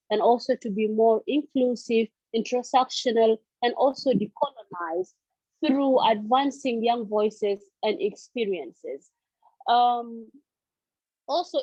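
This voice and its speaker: Husky woman on a lo-fi call. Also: fairly high pitch, warm tone, no echo. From South Sudan. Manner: halting, with frequent fillers and restarts. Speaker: civil society panelist. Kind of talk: online meeting presentation. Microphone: video call. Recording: dull lo-fi call audio.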